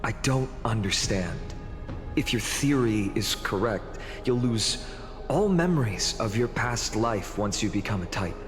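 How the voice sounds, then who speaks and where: An actor playing an earnest, solemn young hero in a video game, speaking in a grave, measured manner. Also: male voice, fairly low pitch, expressive. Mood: doubtful, grave. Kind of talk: dramatic video game voice acting. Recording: long reverb, music behind. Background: music.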